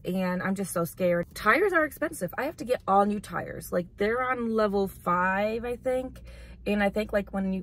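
A woman in her twenties, chatting casually and rambling for a vlog while sitting in a parked car. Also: mid-range voice. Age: 20s. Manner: chatty, rambling, lots of "like" and "literally". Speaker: vlogger. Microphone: camera on dashboard.

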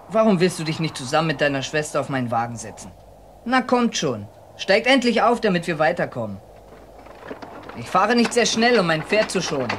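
An actor playing a good-natured, folksy Siberian wagon driver in a German audio drama. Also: male voice, on the high side, a bit bright, slight background noise. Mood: sympathetic, urging.

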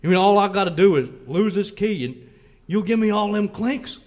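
Western serial spoof sheriff: folksy, oblivious and chipper, Southern American accent, fairly high voice, rambling delivery. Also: male voice, animated, moderate pace, a little mellow. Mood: folksy, pleased. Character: dim, folksy, cheerful small-town western sheriff. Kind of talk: parody of old-time radio western serial.

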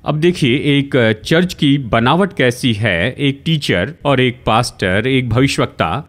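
A middle-aged man with a mid-pitched voice gives religious teaching in a studio talk. He explains things didactically and repeats key phrases for emphasis. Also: lively intonation, slow pace. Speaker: guest Bible teacher.

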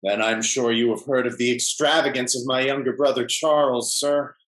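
A man acts out a smooth hypocrite of a gentleman in a theatrical period comedy. He is formal and pompous, with polished false courtesy and a medium-pitched voice. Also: steady tone, normal speed, close to an everyday voice, poor audio. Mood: unctuous, regretful. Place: at home on a video call.

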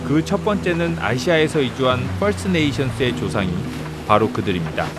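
A man narrating a documentary and interviewing guests in an expressive scripted delivery. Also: medium pitch, racing, loud music behind the voice.

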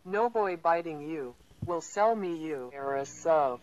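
A woman reading out lines in a halting delivery. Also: low voice, animated, darkish tone, dull audio, a little hiss or hum. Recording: music under the voice.

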